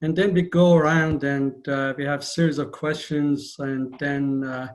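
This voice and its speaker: A man's voice: a little high, flat delivery, moderate pace. A man moderating a virtual panel, speaking carefully and formally while losing his voice.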